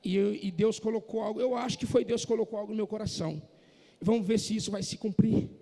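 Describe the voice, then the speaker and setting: A man preaching a sermon in a church, impassioned and rising to shouts, from northeastern Brazil. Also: high-pitched, steady tone, fast talker, vocal fry. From Northeast Brazil, Maranhão. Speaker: pastor. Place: church hall. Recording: echoey church hall.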